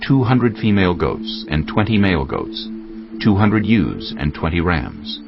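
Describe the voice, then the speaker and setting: A man's voice: sits low, sing-song, unhurried, creaky. A man narrating dramatized scripture as a storyteller. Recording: dull lo-fi audio with music bed.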